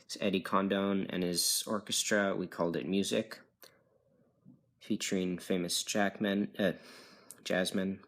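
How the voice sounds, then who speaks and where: A man's voice: deep voice, little pitch movement, a little slow, fairly bright tone. A man giving a casual, rambling show-and-tell as a collector, hesitant and often correcting himself, recorded on a handheld phone. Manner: hesitant, unsure, self-correcting. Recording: noisy amateur phone video with clicks.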